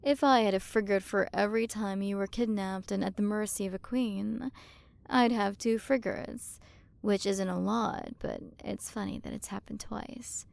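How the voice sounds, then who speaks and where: A woman's voice: mid-range voice, steady tone, darkish tone. A woman playing a haughty, possessive alien galaxy queen in an ASMR roleplay, condescending and flirtatious as she toys with the listener. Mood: gloating, amused. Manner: condescending, flirtatious, drawn-out delivery with breathy drops into whispers. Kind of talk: ASMR roleplay, scripted character acting.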